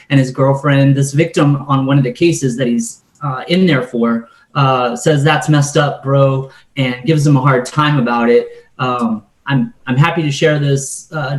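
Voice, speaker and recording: Male courtroom attorney, middle register, measured formal argument with some hesitation, strong room echo. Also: mid-range voice.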